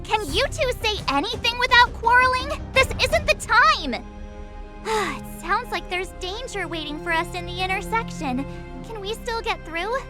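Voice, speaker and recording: A woman voicing a perky, impatient young girl adventurer in a video game, expressive and teasing. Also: very high voice, moderate pace. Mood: exasperated. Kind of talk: video game cutscene acting. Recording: light music behind the voice.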